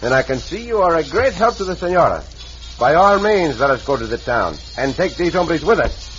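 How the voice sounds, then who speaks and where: An actor playing a suave, courtly caballero hero in an old-time radio drama. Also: male voice, fairly high pitch, sing-song, brisk, a bit bright, dull audio. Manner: courtly, polite, smooth. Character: suave gallant Mexican caballero hero, man. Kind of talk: 1940s radio acting. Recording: hissy old radio recording.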